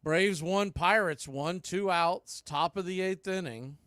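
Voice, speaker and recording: A man calling a baseball game play by play, rising to excited calls on big plays. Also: sits high, takes it slowly, clean tone, no echo, studio quality. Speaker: play-by-play announcer.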